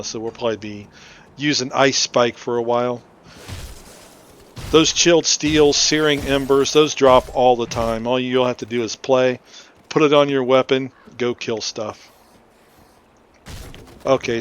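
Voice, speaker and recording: A man giving casual, explanatory commentary as he hosts a game playthrough. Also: medium pitch. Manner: informal, explanatory. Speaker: gaming video host. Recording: slightly dull audio, faint noise.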